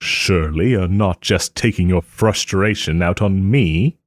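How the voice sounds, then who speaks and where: A man playing a haughty but tender wronged nobleman in a romantic audio roleplay, speaking theatrically with formal, old-fashioned phrasing and hesitant pauses. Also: low-pitched, no echo, clean studio sound. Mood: smug, mocking. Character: wronged young nobleman, haughty, wounded, still tenderly caring.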